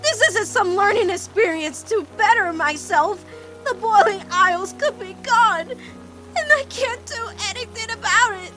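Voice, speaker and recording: A woman's voice: very high-pitched, expressive, unhurried, a bit bright, soft background music, full character voice, poor audio. A woman voicing a guilt-ridden fourteen-year-old girl in an emotional cartoon fan dub, blaming herself with dramatic intensity. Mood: bitter self-blame. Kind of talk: animated-series fan dub drama acting.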